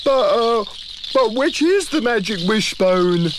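A man's voice: high voice, expressive, slow pace, background noise, pitched above the performer's own voice. A man voicing a cheerful, simple-minded male creature in a storybook reading, hesitant and stammering with fillers. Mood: innocent. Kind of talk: storybook character voice.

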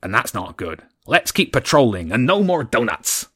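A man's voice: medium pitch, very animated, normal speed, a bit bright, breathy edge. A man voicing a dim, eager male seagull guard who chatters fussily and anxiously tries to please his master. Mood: grumbling.

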